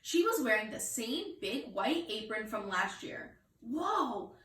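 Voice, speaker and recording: A woman with a fairly high voice reads a children's story aloud as a teacher would. Her delivery is expressive. She sounds a little away from the mic in an echoey room. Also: measured pace, slight background noise. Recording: roomy echo, distant mic.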